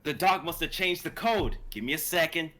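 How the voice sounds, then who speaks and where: A man playing a desperate, hot-tempered lab assistant turned thief in a live drama reading, urgent and husky. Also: on the high side, moderate pace, pushed and bright. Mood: tense, irritated. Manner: urgent, emphatic.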